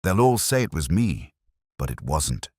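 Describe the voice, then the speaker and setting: Male narrator as nervous shopkeeper in mystery audiobook: agitated, pleading, deep but swooping intonation. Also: sing-song, a little slow, darkish tone, breathy edge, no room sound, studio quality, exaggerated voice. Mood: panicked pleading. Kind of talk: audiobook character dialogue.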